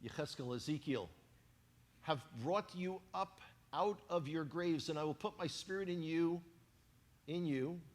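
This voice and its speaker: A man with a Bronx New York accent giving a church talk, animated and cracking jokes to the congregation. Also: on the high side, a little slow. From New York, USA. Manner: animated, joking asides to the congregation. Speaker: guest speaker, former tour guide. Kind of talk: sermon-style talk. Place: church hall. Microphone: handheld microphone.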